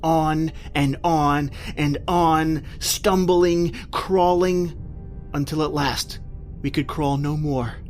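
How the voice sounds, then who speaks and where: An actor playing a terrified, desperate man recounting his flight from a monster, in intense old-time radio horror style with drawn-out, repeating phrases. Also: fairly high pitch, fairly even intonation. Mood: haunted, anguished. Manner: intense, breathless repetition, drawn-out dramatic phrasing. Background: music.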